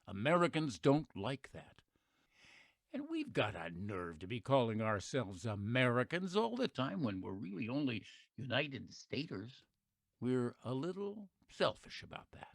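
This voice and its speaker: A folksy male radio-play narrator, voiced by an actor, with a widely varied, animated pitch and a close, dry sound. He speaks conversationally and wryly, leaning on his points with plain patriotic conviction. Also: vocal fry, no echo, heavily acted. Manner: conversational, wry, emphatic. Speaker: narrator and host of an audio play.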